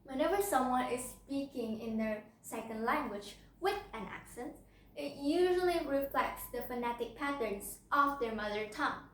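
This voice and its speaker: High-voiced teen girl. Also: normal speed, recorded from a distance. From Indonesia. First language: Hokkien. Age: teenager. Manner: lively, often asks the listener questions. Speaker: student speaker in a speech contest. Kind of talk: prepared persuasive speech to camera. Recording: roomy echo.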